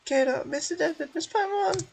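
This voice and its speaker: A man's voice: very high voice, normal speed, vocal fry, slight background noise, pitched above the performer's own voice. A man voicing a timid, wide-eyed little girl in an amateur game dub. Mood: worried.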